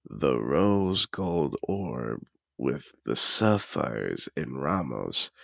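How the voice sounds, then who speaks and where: A man playing a cultured, possessive dragon disguised as a human, effusive and refined, in a gravelly-voiced audio roleplay addressed to the listener. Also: very deep voice, fairly bright tone, no echo, muffled recording, heavily acted. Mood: proud, enchanted. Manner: refined, effusive, theatrical phrasing.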